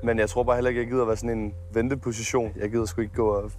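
A man's voice: mid-range voice, level tone, darkish tone, soft background music. Blunt young man, direct questions. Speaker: reality show contestant. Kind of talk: casual conversation. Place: outdoor villa garden at night.

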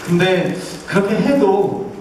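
A man's voice: high voice, lively intonation, takes it slowly, a little mellow. Young man in a very echoey hall. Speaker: stage actor. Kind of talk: press call anecdote. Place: theatre stage, recorded from the audience. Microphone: handheld mic through PA, distant camera. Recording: very echoey, distant, faint noise.

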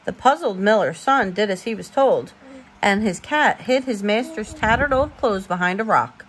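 A woman reading a bedtime storybook aloud as a parent, expressive and informal, stumbling now and then over words. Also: medium pitch, moderate pace, low-quality recording.

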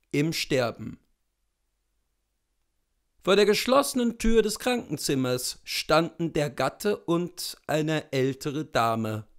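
Slow, high-pitched man reading literature. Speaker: literary reader, narrator. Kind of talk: expressive literary audiobook narration. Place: indoors in front of bookshelves.

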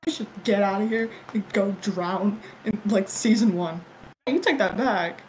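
A woman's voice: mid-range voice, a little slow, darkish tone. A woman performing an irreverent, giggly, improvised cartoon fandub. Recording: clearly noisy home recording.

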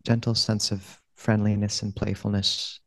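A man with a fairly low voice guides a meditation in a gentle, unhurried way. His pitch stays very even, with long pauses between phrases. The sound is close and dry. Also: creaky, no echo. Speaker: monastic meditation teacher.

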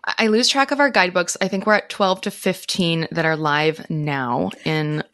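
A woman's voice: lowish voice, moderate pace, fairly bright tone, dry recording, studio quality. A woman in her thirties speaking as an interview guest, explaining things in an informal, conversational way. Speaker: interview guest, author and teacher. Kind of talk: conversational advice. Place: indoor room, on a video call. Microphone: close microphone.

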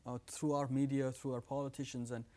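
A man's voice: medium pitch, level tone, fairly quick, mellow. Iranian-accented man in his thirties, earnest studio guest, lapel mic. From Iran. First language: Farsi. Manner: earnest, gestures with hands while talking. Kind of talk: testimony in a studio interview. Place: TV studio set with living room decor.

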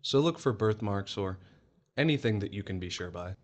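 A man playing a gentle, formal police officer in a horror audio drama, careful and sympathetic. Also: fairly low pitch, expressive, normal speed, natural voice. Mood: solemn.

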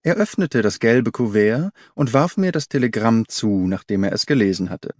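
A man's voice: lowish voice, expressive, moderate pace, no room sound, studio quality. A man reading scripted literary narration as an audiobook narrator.

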